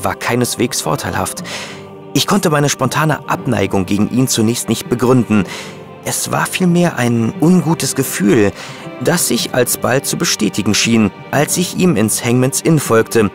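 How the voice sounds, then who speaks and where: An actor playing a loyal, impressionable detective's assistant and chronicler in a radio play. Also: male voice, mid-range voice, vocal fry. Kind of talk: German radio play acting and narration.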